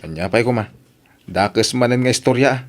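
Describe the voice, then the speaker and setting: An actor playing a disgruntled villager passing on gossip in a radio drama, grumbling with an Ilocano accent. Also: male voice, mid-range voice, fairly quick, lightly acted.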